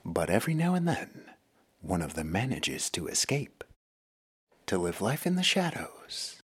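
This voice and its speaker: A man's voice: deep voice, big pitch swings, a little slow, slightly rough. A man working as a podcast host, reading scripted narration for a children's story show.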